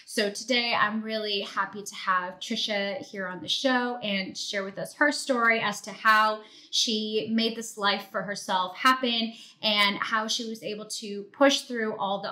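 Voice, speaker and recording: A woman's voice: mid-range voice, bright, forceful tone. A woman hosting a podcast, introducing and interviewing in a conversational style. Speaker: podcast host.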